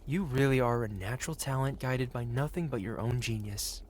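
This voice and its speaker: A suave, wealthy dragon patron played by a man in a romantic fantasy audio roleplay. He speaks in courtly, flowery phrases at a medium male pitch, addressing one listener intimately, with a possessive streak beneath the polish. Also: normal speed, darkish tone, close to an everyday voice. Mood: admiring, intent.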